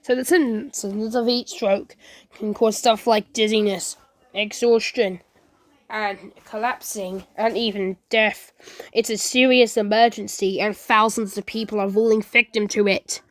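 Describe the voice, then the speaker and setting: A woman's voice: mid-range voice, steady tone, a little slow, dry recording. A woman giving an improvised amateur disaster broadcast, rambling along, stumbling, repeating phrases and correcting herself. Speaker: creator and performer of a fan emergency-alert scenario.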